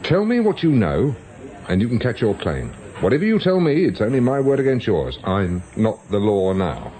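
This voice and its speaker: A stern, probing British investigator, swooping intonation. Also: male voice, fairly low pitch, huge pitch swings, dark tone, poor audio. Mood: persistent, persuasive. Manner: insistent, deliberate questioning. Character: probing male former policeman turned investigator, stern and persistent. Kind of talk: radio drama acting.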